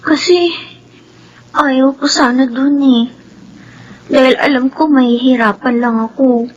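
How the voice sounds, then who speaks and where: A Filipino woman playing a timid, unhappy high school girl in a radio drama, plaintive and pleading. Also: fairly even intonation, unhurried, dull audio. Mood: sulky.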